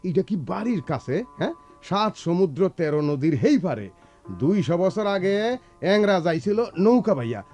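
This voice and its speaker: An actor playing an excitable, doting older brother from a village, blustering and rambling in a rural eastern Bengali accent in a radio play. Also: male voice, sits high, normal speed. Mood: indignant scolding. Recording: music behind, radio drama production.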